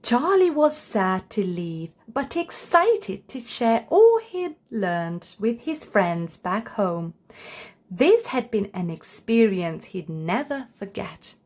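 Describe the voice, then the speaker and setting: A woman's voice: medium pitch, big pitch swings, slow pace, darkish tone. A woman storyteller reading a children's book aloud as scripted narration.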